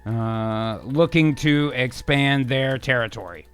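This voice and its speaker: A man hosting a casual live stream, brainstorming out loud in a halting way, trailing off into hums as he mulls over words. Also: mid-range voice, clean tone. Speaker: host of a live streamed improv show. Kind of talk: casual brainstorming, thinking aloud.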